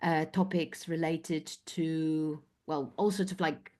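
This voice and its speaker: A woman from Poland whose first language is Polish, a guest talking reflectively in an interview and often pausing to find her words. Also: low voice, fairly even intonation, mellow. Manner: thoughtful, searching for words, many fillers. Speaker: guest, business coach.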